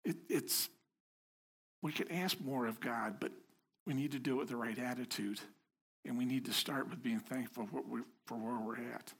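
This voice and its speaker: Husky man preaching conversationally. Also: mid-range voice. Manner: conversational, self-deprecating, joking with the congregation. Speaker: lay preacher. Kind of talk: informal sermon. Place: house of worship before a congregation.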